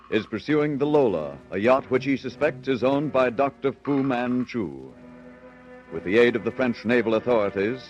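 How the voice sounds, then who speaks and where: A man narrating a 1930s radio serial as announcer, formal, dramatic and carefully enunciated. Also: medium pitch. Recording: dull vintage radio recording.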